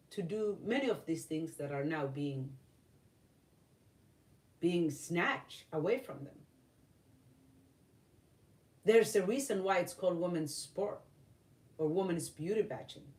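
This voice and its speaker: Earnest, halting woman, off-mic. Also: on the low side, darkish tone, distant mic. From Somalia. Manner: earnest, halting, many fillers and restarts. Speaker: vlogger. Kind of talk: opinion commentary. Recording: roomy, voice from off-mic.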